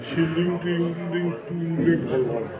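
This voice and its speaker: A man's voice: a little high, normal speed, very noisy. A man chatting casually about work, as if among colleagues in a shop. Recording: muffled, echoey, loud crowd chatter.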